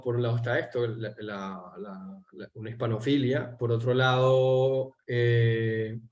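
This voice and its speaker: A Venezuelan man hosting a political interview, talking in a measured way and pausing to search for words. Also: medium pitch, darkish tone. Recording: dull lo-fi sound.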